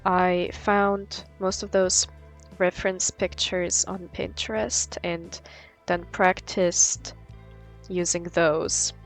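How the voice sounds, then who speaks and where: Young woman doing a chill casual vlog, talks really slowly, kinda sweary. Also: on the low side, flat delivery, soft background music. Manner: informal, swearing. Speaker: vlogger, artist.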